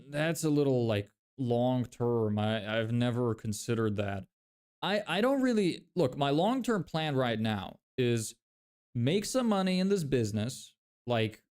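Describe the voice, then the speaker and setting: A man's voice: mid-range voice, a little mellow, smooth. Young man, Lithuanian first language, casual streamer answering chat, mid-range voice, loose and rambling. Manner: rambling, many fillers and restarts. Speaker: livestreamer reading chat.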